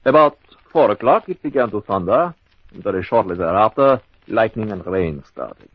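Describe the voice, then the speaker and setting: Deep, husky, cold-blooded radio-drama husband. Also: male voice, lively intonation, dry recording. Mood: feigned composure. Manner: formal, measured, theatrical. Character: smooth, cold-blooded husband plotting his wife's murder. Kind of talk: old-time radio mystery acting. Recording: dull lo-fi old radio recording.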